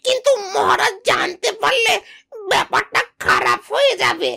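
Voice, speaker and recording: Casting: shrill, bright, greedy sidekick creature, whiny and wheedling. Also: female voice, huge pitch swings, moderate pace, creaky, full character voice. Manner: whining, pleading, wheedling. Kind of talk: cartoon voice acting.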